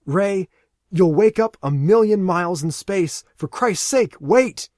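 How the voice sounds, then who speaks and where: Man voicing a panicked young man who yells and pleads, high and loud. Dry read. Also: animated, slow, no room sound. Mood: frantic. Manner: frantic, pleading, shouting.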